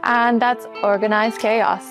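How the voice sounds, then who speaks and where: A woman's voice: on the high side, slow pace, over music. A young woman, a podcast guest who laughs easily and murmurs agreement often. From Ireland. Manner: giggly, animated, lots of agreeing murmurs.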